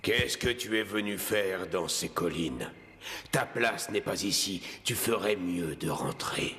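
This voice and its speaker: A man's voice: sits low, lively intonation, slow, fairly bright tone, a little hiss or hum, full character voice. A man voicing a stern old ghost horse in a children's cartoon, grave and commanding. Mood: stern warning. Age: older. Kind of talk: French cartoon dub acting.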